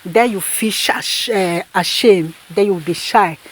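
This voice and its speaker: A woman's voice: mid-range voice, measured pace. A woman host giving her opinion in an insistent, repetitive way, restarting her sentences often. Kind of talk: commentary.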